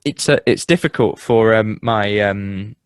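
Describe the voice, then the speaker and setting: A young man from the English Midlands with a Midlands accent, hosting a casual podcast chat, halting and full of fillers and restarts. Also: fairly low pitch, smooth, dry recording, clean studio sound. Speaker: podcast host. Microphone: headphones and home mic.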